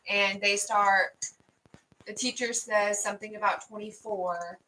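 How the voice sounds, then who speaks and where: A woman's voice: medium pitch, recorded from a distance, slight background noise, low-quality recording. A woman in her twenties chatting casually with her mother, telling stories with lots of "like". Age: 20s. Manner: animated storyteller, says "like" a lot. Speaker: daughter and co-host. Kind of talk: casual family chat.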